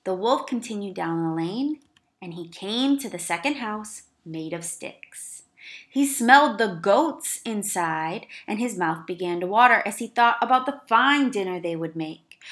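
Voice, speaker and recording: A woman teacher reading a children's story aloud, with expressive, careful emphasis for young listeners. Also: mid-range voice. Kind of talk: children's read-aloud narration.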